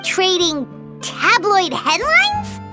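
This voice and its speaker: A woman voicing a small, excitable, childlike fairy companion in an English video game, squeaky and dramatically exclamatory. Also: drawn-out words, exaggerated voice. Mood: shocked, indignant. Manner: exclamatory, dramatic, speaks of herself in third person. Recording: music behind the voice.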